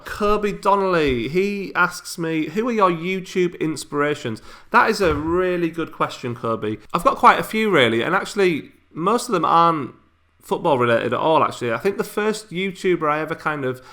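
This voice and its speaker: A man's voice: fairly high pitch, bright, forceful tone. A man, answering subscriber questions in a casual, chatty way with frequent ums and restarts. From England. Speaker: YouTuber.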